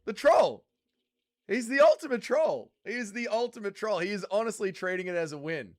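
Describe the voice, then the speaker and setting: A man's voice: high-pitched, lively intonation, normal speed, a little mellow. A young man, hosting a casual livestream and bluntly arguing with chatters he reads out. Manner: heated, blunt, calls chatters trolls. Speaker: livestream host reading chat.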